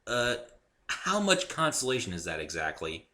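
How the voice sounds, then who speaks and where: A man's voice: medium pitch, wildly swooping pitch, normal speed, bright, forceful tone, voice pushed up. A man voicing a polite, unsure young geeky man in a story reading, speaking in a hesitant, earnest way. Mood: nervous.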